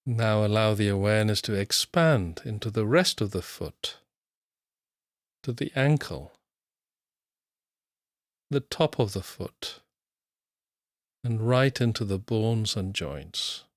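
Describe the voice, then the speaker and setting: A man guiding a mindfulness meditation in a soothing, measured manner with long pauses. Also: lowish voice, animated, dry recording, studio quality. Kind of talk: guided body scan meditation narration.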